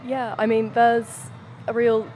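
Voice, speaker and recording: A woman's voice: fairly high pitch, flat delivery, slow, a little mellow, a little hiss or hum. A woman interviewing people at an outdoor community event, upbeat and affirming. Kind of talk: casual interview.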